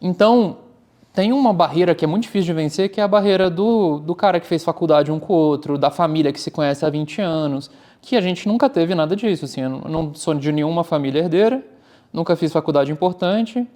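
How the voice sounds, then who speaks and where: Candid Brazilian man, fairly high voice. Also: quick pace. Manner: candid, animated, uses "cara" often. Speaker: startup founder and guest. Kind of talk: casual interview talk.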